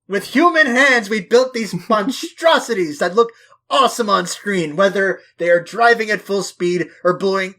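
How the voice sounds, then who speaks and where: High-voiced man, emphatic and sweary podcaster. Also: bright, forceful tone. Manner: emphatic, sweary, given to hyperbole. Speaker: podcast co-host. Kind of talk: casual film discussion.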